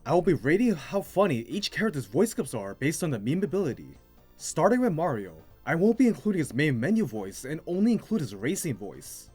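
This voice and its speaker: A man's voice: a little high, very animated, brisk, a little mellow, breathy edge. A man delivering scripted narration as the host of a video game commentary. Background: music.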